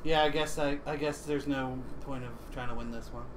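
A man's voice: mid-range voice, fairly even intonation, fairly quick. A man chatting casually while playing a tabletop game and reading rules aloud. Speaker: board game player. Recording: clearly noisy livestream room recording.

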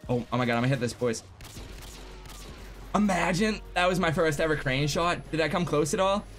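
A young man in his twenties live-streaming a game from his home setup, chatting excitably with viewers, swearing freely and going hoarse. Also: fairly high pitch, fairly quick, soft background music. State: losing his voice. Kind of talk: live gaming stream chat. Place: home gaming room.

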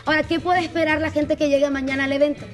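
A woman's voice: high-pitched, little pitch movement, very fast, faint music behind. A woman hosting a live television interview, asking questions and addressing viewers as presenter. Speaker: TV host, interviewer. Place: TV studio set with sofas.

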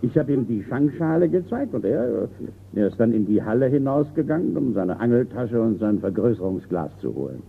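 An actor playing an older retired colonel, proud and blustering, in a radio crime drama. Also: male voice, medium pitch, big pitch swings, a little fast, gravelly. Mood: flustered, indignant. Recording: muffled phone-like radio recording, faint hum.

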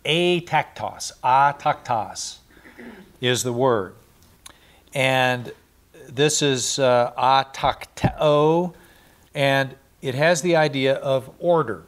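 A man's voice: mid-range voice, drawn-out words. A middle-aged man giving a verse-by-verse Bible study, explaining and repeating Greek words, seated in a small studio with a lapel mic. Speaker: Bible teacher.

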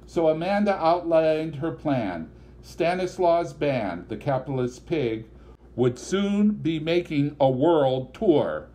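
A man's voice: fairly high pitch, lively intonation, slow, dark tone. A man reads literary fiction aloud as an audiobook narrator.

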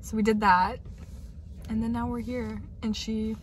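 Young woman, slightly high voice, casual chat, phone recording, heavy background noise. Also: little pitch movement, unhurried. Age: young adult. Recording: very noisy, car and street ambience.

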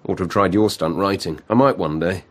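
An actor playing a cocky, feckless drinker from a genteel family in a radio drama, breezy and sneering. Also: male voice, sits low, a little fast. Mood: breezy, sour.

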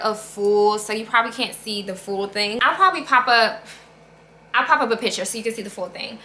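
A woman's voice: medium pitch, bright, forceful tone, slight background noise. A woman in her thirties doing a casual clothing haul to camera at home, chatty and upbeat, calling viewers y'all and sis. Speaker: fashion vlogger. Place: living room with plants, indoors. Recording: echoey room.

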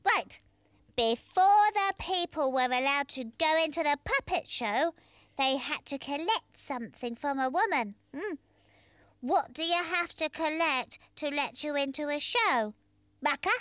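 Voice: high-pitched, lively intonation, measured pace, a bit bright, no room sound. Someone doing a boastful tiger quiz host. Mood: quizzing. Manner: boastful, bouncy game-show patter. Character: cheery showy tiger puppet quiz host. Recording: music bed underneath.

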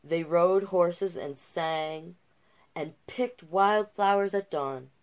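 Woman, middle-aged, lowish voice, very slow pace, expressive children's storytime reading, chatty asides. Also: clear, resonant tone. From Canada. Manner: unhurried, expressive storybook delivery. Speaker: storytime host and drag king. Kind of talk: children's picture-book read-aloud with casual asides. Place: small cluttered room indoors.

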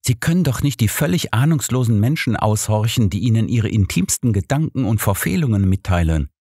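A man's voice: on the low side, lively intonation, brisk, a little mellow, slightly rough, no echo, clean studio sound, voice pushed up. A man voicing a detective's loyal, easily indignant companion, earnest and protesting, in an audiobook. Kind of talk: audiobook character dialogue.

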